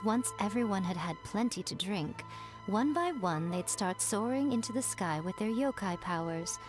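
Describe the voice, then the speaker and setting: A woman's voice: fairly low pitch, natural voice. A woman voicing a sly, elegant fox shrine priestess in a video game, playful, teasing and self-assured. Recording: music behind the voice.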